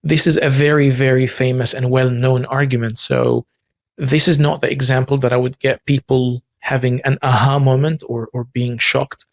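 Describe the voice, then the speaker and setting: Man, Egyptian accent, native Arabic speaker, gravelly, restarts, dry sound. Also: medium pitch, little pitch movement, normal speed, dry recording. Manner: frequent restarts and repeated words, earnest. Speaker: guest, human rights activist. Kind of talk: interview conversation.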